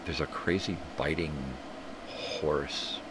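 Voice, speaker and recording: A man's voice: very deep voice, fairly even intonation, slow pace. An older man hosting a live stream, rambling through casual, profane tangents while sniffly from a cold. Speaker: livestream host. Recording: clear background hum.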